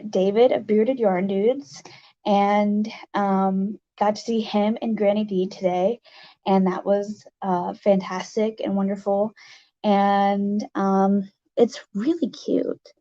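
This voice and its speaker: Raspy, slow-talking livestream host. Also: mid-range voice, mellow, highs cut off. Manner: rambling, halting with many fillers. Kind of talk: casual livestream chat, greeting viewers.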